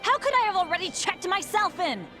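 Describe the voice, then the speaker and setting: An exasperated young woman in a video game, complaining loudly that she is being shut out. Her voice is very high and bright, bouncing sharply in pitch. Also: very animated, brisk, soft background music, full character voice. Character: indignant young woman denied entry to an event.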